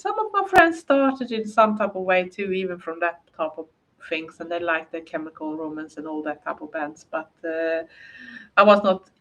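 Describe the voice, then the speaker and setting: Swedish lady livestreaming, english not her first language, kinda low voice, lots of uh and restarts. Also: expressive, normal speed, rough recording. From Sweden. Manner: rambling, hesitant, many fillers and restarts. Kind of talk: casual livestream chat, answering viewer comments.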